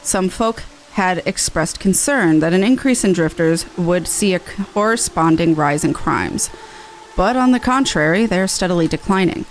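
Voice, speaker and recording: A woman's voice: on the low side, lively intonation, normal speed, a little hiss or hum, lightly acted, poor audio. A woman voicing a formal, grateful city soldier in a fantasy game, with a courteous and official manner. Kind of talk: fantasy game character read-aloud.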